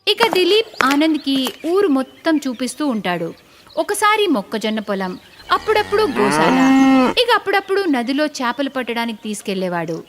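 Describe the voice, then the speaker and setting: A woman's voice: sits high, very animated, normal speed. A woman narrating a scripted cartoon story in a dramatic style. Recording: clearly noisy background.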